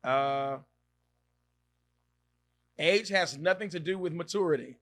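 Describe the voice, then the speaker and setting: A man in his thirties hosting a podcast, chatting casually and reacting loudly as he reads out viewer comments. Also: fairly high pitch, slow pace. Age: 30s. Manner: animated, exclaims and reacts loudly, repeats himself. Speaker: podcast host. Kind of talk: casual live-stream chat reading comments.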